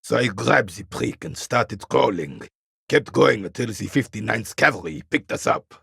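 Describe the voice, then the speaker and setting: An actor playing a proud, bitter old royalist soldier in a video game, stern and disdainful, with a gravelly, raspy voice. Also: male voice, on the low side, expressive, normal speed, bright, forceful tone, no echo, studio quality, heavily acted. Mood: grim recollection. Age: older. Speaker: retired carabinier working as a guard. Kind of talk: video game character dialogue.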